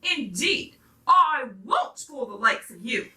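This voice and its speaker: A woman's voice: high voice, sing-song, takes it slowly, bright, forceful tone, full character voice. Woman as a bellowing, pompous freight engine. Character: big, strong, self-important male freight engine. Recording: roomy, distant microphone.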